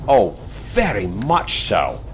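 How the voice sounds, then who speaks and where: An actor playing a courteous, confident leader of secret agents in an adventure audio drama, speaking in a genteel, theatrical way. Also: male voice, medium pitch, wildly swooping pitch, slow, gravelly, slight background noise, full character voice. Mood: earnest, persuasive.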